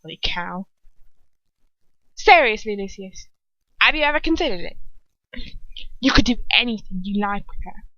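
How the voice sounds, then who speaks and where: A woman's voice: mid-range voice, lively intonation, moderate pace, long pauses, pushed and bright, dry recording, highs cut off. A woman voicing a crude, mocking male villain with a Cockney accent in a fan story reading. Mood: leering. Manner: mocking, coarse.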